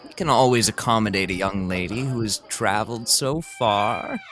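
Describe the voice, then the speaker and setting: An actor playing a genial, smooth-talking older gentleman who runs a hotel, speaking in courtly, old-fashioned phrases in a period audio drama. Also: lowish voice, normal speed, soft background music, natural voice. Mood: commanding, gracious.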